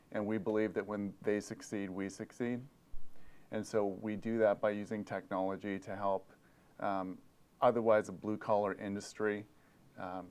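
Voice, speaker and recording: A middle-aged man from Hawaii giving a presentation at a conference, speaking with many fillers and repeated words into a lapel mic. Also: on the low side. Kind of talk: presentation talk. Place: conference room, indoors.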